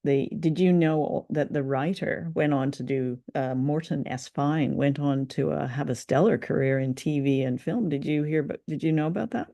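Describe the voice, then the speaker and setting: A woman co-hosting a casual review chat in an understated, halting way. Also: deep voice, mellow, muffled recording. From Canada. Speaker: co-host of a radio drama review show.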